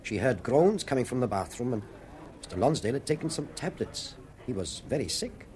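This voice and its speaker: An actor playing a formal, deferential and anxious hotel manager in a radio drama. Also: male voice, mid-range voice, lively intonation, a little fast, a little mellow, slight background noise, natural voice. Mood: discreetly perturbed. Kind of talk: British radio drama acting.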